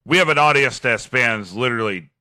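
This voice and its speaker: A man with a deep voice and a slight lisp, co-hosting a casual podcast, sarcastic and self-mocking, laughing often. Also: animated, brisk, bright, forceful tone, no room sound. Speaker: podcast co-host. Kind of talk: casual chat.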